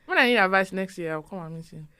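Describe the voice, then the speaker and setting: A woman's voice: mid-range voice, lively intonation, fast talker, sharp tone, clear, resonant tone. A woman co-hosting a podcast in casual chat, teasing her friends and laughing, with bits of pidgin slang. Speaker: podcast co-host.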